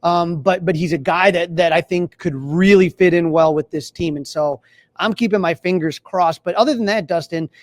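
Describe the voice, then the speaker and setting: High-pitched American man talking sports. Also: normal speed, no room sound. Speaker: sports podcast host. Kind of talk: casual sports talk.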